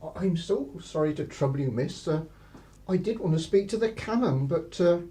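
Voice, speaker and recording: A man's voice: on the high side, animated, moderate pace, mellow, close to an everyday voice. An actor playing a plodding, deferential but sly English village policeman in a radio play, hesitant and roundabout. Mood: apologetic. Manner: hesitant, apologetic, polite, roundabout.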